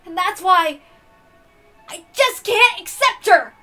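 A woman's voice: extremely high pitch, animated, slow pace, sharp tone, faint music behind, voice pushed up, heavily acted. A woman voicing an anguished, brooding young male game master in a dramatic visual novel reading, earnest and bitter. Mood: anguished, angry.

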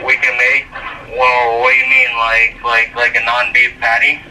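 A man working at a fast food restaurant, politely and hesitantly handling a customer over a phone speaker. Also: medium pitch, little pitch movement. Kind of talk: customer service phone talk. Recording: sharp phone speaker, distant, faint noise.

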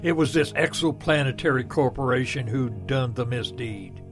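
Guy playing a grumbly pompous backwoods preacher, folksy speechifying, medium pitch, bit of music, radio play. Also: moderate pace, close to an everyday voice. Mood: disapproving, oratorical. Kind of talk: audio drama panel debate.

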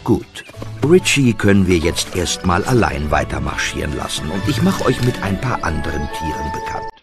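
A man's voice: sits low, big pitch swings, moderate pace, creaky. A man narrating a scripted children's audio story, speaking directly to the listeners. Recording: music under the voice.

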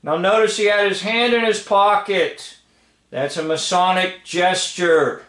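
A man's voice: high voice, fairly even intonation, a little slow, a bit bright. A man giving off-the-cuff commentary over film footage, emphatic, repeating phrases and checking in with the listener. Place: indoor room, filming a screen. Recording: roomy, echoing home recording.

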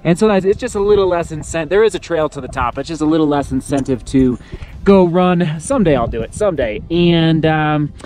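A man's voice: fairly high pitch, brisk, darkish tone. A man in his thirties, a running vlogger, talking casually and upbeat to a camera held at arm's length. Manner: upbeat, chatty, thinking aloud. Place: mountain summit, car, shop, indoor room. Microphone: action camera at arm's length.

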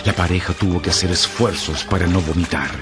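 Man, very low voice, grave horror narrator, dramatic, loud music underneath. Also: fairly quick. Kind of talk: scripted horror story narration.

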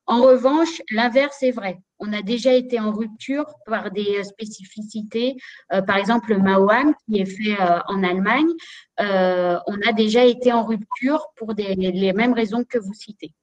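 A woman's voice: mid-range voice, normal speed, muffled recording. A woman from Canada, speaking as a supply chain manager on a video call panel, hesitant and full of fillers. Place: office room. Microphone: video call webcam.

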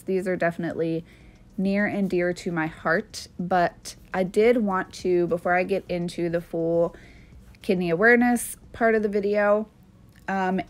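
Chatty sniffly woman, lower voice. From Maryland, USA. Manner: chatty, earnest, with frequent fillers. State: sniffly from allergies. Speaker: nail art channel host. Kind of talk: casual chat to camera.

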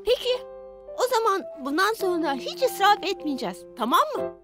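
A performer voicing an earnest, excitable little boy in a children's cartoon, expressive and childlike. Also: very high-pitched, moderate pace, over music, exaggerated voice. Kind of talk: cartoon dub acting.

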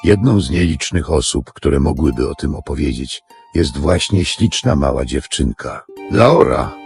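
A man's voice: extremely low pitch, expressive, unhurried, gravelly, darkish tone. A man narrating a scripted fairy tale as the storyteller of an audio drama. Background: music.

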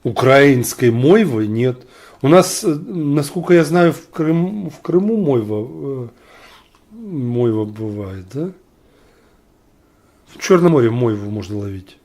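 A man's voice: mid-range voice, expressive, slow pace, darkish tone. A man from Ukraine arguing on a video chat stream, blunt and mocking with sarcastic asides. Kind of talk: argumentative online video chat.

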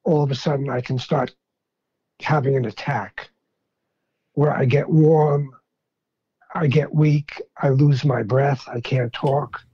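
A man in his sixties with a thick New York accent and a gruff voice, chatting casually over the phone. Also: mid-range voice, fairly even intonation, takes it slowly, pauses often, dark tone. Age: 60s. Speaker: podcast guest, rock fan. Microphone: phone call. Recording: dull, lo-fi phone line.

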